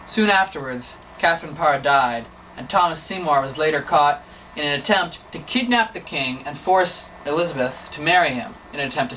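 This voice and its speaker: A man's voice: on the high side, pushed and bright. A teenage boy narrating a mock documentary and interviewing, earnest and mock-serious. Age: teens. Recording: distant mic, noisy room.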